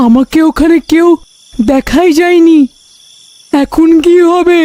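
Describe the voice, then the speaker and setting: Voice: extremely high pitch, dark tone, a little hiss or hum. A performer playing a frightened village husband, exclaiming and panicky, in a horror storybook tale. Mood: terrified. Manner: exclaiming, panicky, talks to himself.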